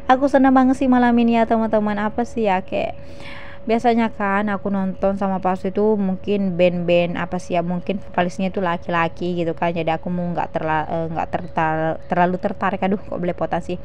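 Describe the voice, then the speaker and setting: A chatty, giggly woman from South Sulawesi, Indonesia, doing a casual vlog voice-over to her viewers, with a slightly shrill edge. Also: a little mellow. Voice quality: slightly shrill, by own account. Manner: chatty, giggly, frequent tag words. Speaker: vlogger. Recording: dull lo-fi voice-over with faint noise.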